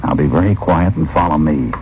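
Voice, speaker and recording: A shrewd, commanding cowboy hero in a vintage radio western. His American voice is low, brisk and sure of itself. The recording is muffled with faint noise. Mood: methodical. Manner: brisk, authoritative, confident. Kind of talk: old-time radio western acting.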